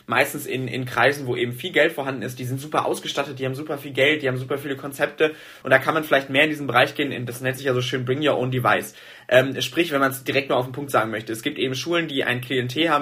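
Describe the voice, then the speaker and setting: A man's voice: mid-range voice, bright, forceful tone, slightly rough. A man answering interview questions as a student representative, with many fillers and restarts.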